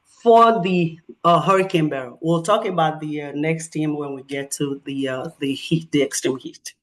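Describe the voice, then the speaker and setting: A middle-aged woman with a Nigerian accent, a professor presenting research at a community meeting with animated storytelling and frequent fillers. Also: low-pitched, moderate pace.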